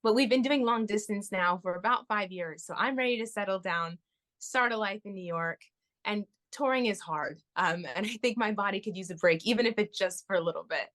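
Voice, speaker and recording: A woman's voice: mid-range voice, a little fast, fairly bright tone. A young woman from Florida, a touring musical theater performer, chatting as a guest in an interview and laughing often. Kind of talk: casual interview chat.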